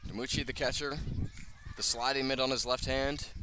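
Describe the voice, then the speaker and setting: A man's voice: mid-range voice, flat delivery, normal speed, noisy background. A man calling a baseball game live as a radio play-by-play announcer, speaking from field level at an outdoor ballpark.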